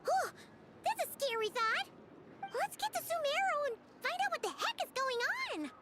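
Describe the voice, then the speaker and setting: A woman voicing a tiny, chatty, excitable fairy companion with a childlike voice in an anime-style video game. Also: normal speed, heavy background noise. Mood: puzzled, uneasy. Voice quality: childlike, squeaky. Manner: exclamatory, bubbly.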